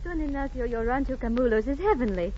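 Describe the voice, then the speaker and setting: A woman's voice: high-pitched, animated, quick pace, warm tone. An actress playing a tender-hearted, idealistic lady writer in 1940s radio drama, effusive and sentimental. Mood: effusive, heartfelt. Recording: muffled old radio recording.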